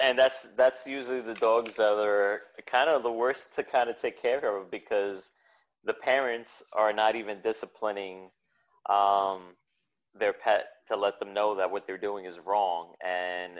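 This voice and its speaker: An earnest man talks at length about his work with dogs, padding his talk with fillers. His voice sits low, and the recording sounds muffled and lo-fi. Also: level tone, normal speed. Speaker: podcast guest, dog business owner. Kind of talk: casual interview chat. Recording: dull lo-fi sound.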